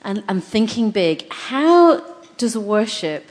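Female panel host, mid-pitched, encouraging and informal, recorded with long hall reverb. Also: big pitch swings, slow pace. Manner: encouraging, chatty, interjects often. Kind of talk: informal live panel interview.

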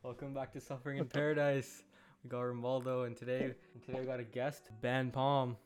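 A man's voice: medium pitch, little pitch movement, brisk, smooth, soft background music. A man in his late teens from Canada hosting a casual podcast, talking easily with repeated words and slang. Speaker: podcast host. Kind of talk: casual chat.

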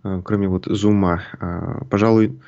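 Low-voiced man on a dull lo-fi line. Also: fairly even intonation, moderate pace, a little mellow. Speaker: podcast co-host. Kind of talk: casual football chat. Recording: dull lo-fi sound.

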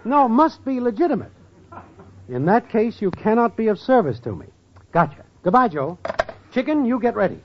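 An actor playing a scheming, jobless city hustler in an old radio comedy, with a slangy, wisecracking delivery. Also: male voice, sits high, sing-song, moderate pace. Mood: scheming, breezy. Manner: slangy, wisecracking wise guy. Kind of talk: 1940s-50s radio comedy acting. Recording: muffled, noisy old radio recording.

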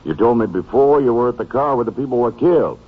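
Casting: small-town sheriff, folksy, blunt, distrustful, medium pitch, old radio drama through a narrow tinny band. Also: male voice, expressive, fast talker, slightly rough. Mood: suspicious, stern.

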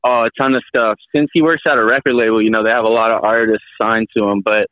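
A man's voice: on the low side, steady tone, no room sound. A young man in his twenties rambles through a casual podcast chat, stopping and restarting often. His voice comes over a thin, phone-like line with very little room sound. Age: 20s. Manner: rambling, halting, with many restarts and fillers. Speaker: guest.

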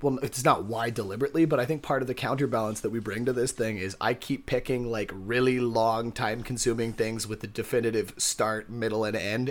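Male podcast co-host, mid-pitched, casual and jokey, mock-serious riffs, foul-mouthed. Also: a bit bright. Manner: joking, mock-earnest riffing, profanity. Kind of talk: casual comedic podcast banter.

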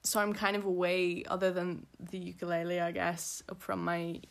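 A woman's voice: lowish voice, flat delivery, normal speed, creaky. A young woman with a Belfast accent, talking casually as an interview guest, rambling with many fillers. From Northern Ireland.

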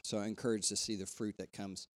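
A pastor with a bassy, clear and resonant voice. He talks his congregation through the week's news in a relaxed, rambling way, with plenty of fillers and restarts. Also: fast talker. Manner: loose and conversational, many fillers and restarts. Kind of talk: church announcements before a sermon.

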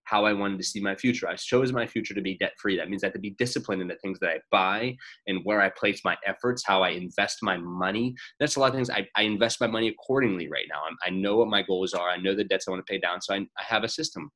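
A man's voice: deep voice, fast, hardly any pauses, pushed and bright. A man in his late twenties in casual conversation, blunt and rambling, swearing freely as he makes his points. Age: 20s. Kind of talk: casual podcast chat.

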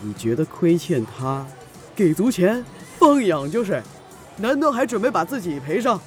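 A man's voice: high voice, big pitch swings, normal speed, faint music behind. An actor playing a chatty, cynical male best friend in a Chinese audio drama, needling and flippant.

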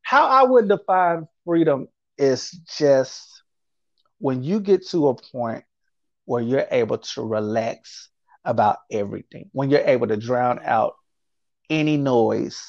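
A man speaking as a guest in an interview, calm and reflective, who often repeats his phrases and checks in with his listener. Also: mid-range voice, unhurried, vocal fry, no room sound, lo-fi sound. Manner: reflective, speaks in repeated phrases, often says "you know what I'm saying". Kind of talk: candid conversational interview.

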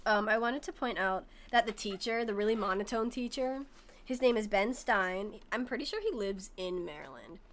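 Giggly, bright-voiced young woman. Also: mid-range voice, moderate pace. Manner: giggly, excitable, lots of laughter. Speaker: podcast co-host. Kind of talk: casual chat.

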